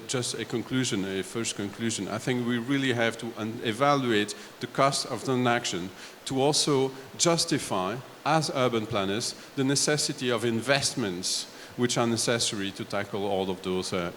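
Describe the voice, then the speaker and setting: A man from Belgium on a discussion panel, laying out his points one step at a time in a halting way. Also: mid-range voice, a bit bright. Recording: echoey hall, faint background noise.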